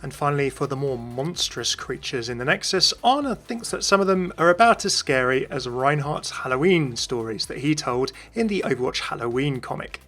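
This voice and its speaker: A man's voice: mid-range voice, expressive, moderate pace, few pauses, a bit bright, soft background music. A man hosting a video, reading scripted narration. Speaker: video host.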